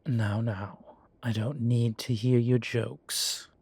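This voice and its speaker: A composed demon professor, acted by a man for a roleplay audio. He speaks unhurriedly at a middling male pitch, measured and formal with a wry, teasing edge. The sound is dry, with no room around it. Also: flat delivery, darkish tone, husky, no echo. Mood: teasing reproof. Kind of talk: scripted roleplay audio, one side of a conversation.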